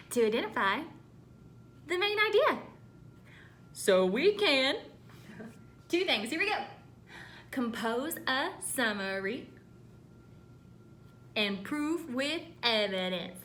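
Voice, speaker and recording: A woman schoolteacher with a high, bright voice leads a read-aloud lesson for children. Her delivery swings up and down with lots of animation as she instructs and asks questions. There is some low rumbling noise in the recording. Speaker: elementary school teacher.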